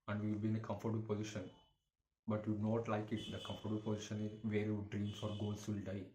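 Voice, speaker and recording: A man giving a motivational talk to camera as a YouTuber, in halting, repetitive, earnest phrasing. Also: fairly low pitch, warm tone. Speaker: YouTuber, motivational content creator. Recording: echoey room with faint background noise.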